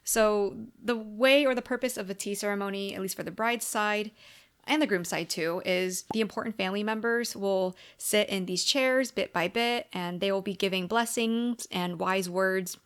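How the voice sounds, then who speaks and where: A woman's voice: mid-range voice, moderate pace, a bit bright, clear, resonant tone. Young US woman, casual vlogger, mid-range voice, chatty and filler-heavy. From United States. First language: English. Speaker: tarot YouTuber. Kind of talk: casual sit-down video diary. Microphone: camera mic at a sit-down chat.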